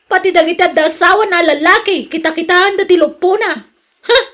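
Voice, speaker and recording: A woman acting as a jealous Ilocano wife in a radio drama, her voice pitched high. She is hardworking and exasperated, and she nags and complains. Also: a little fast, fairly bright tone. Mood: scolding, complaining.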